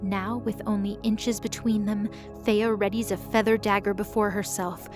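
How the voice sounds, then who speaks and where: A woman giving steady, scripted storytelling narration for an audio drama. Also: medium pitch, normal speed. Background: background music.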